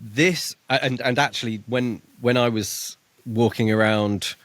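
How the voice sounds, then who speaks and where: A man's voice: mid-range voice, unhurried, a bit bright, no room sound. A man co-hosting a book podcast, summarizing and chatting casually with stammering restarts. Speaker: podcast co-host.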